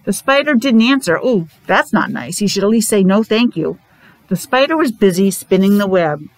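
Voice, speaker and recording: A woman's voice: medium pitch, expressive, normal speed. A middle-aged or older woman teacher reading a story aloud to young children, slightly muffled by a face mask, with chatty explanatory asides and questions. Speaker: preschool or elementary teacher. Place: classroom, indoors.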